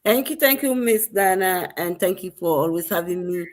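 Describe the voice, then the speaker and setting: A woman's voice: on the low side, expressive, quick pace. A woman with a Ghanaian accent, introducing herself as a guest presenter on a live online talk.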